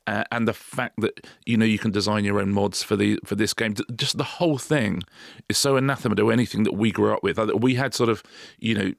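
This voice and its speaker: A man co-hosting a podcast in casual chat, given to wry, quick quips. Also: on the low side, gravelly, no room sound, clean studio sound. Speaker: podcast co-host.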